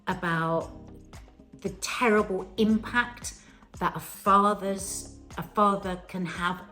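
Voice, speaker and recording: A woman's voice: mid-range voice, steady tone, slow pace, pushed and bright, faint music behind. A woman talks in a reflective, wandering way in a casual film chat. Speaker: podcast co-host.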